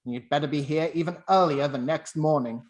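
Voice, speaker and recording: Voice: medium pitch, a little fast, a little mellow, close to an everyday voice. A performer playing a miserly, bitter old businessman in a radio play, curt and scornful. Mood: stern, scornful.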